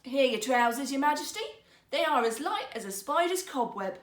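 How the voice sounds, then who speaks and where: A woman voicing a sly, flattering rogue weaver in a storybook, with wheedling, showy salesmanship. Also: high voice, brisk, reverberant, far from the mic, voice pushed up.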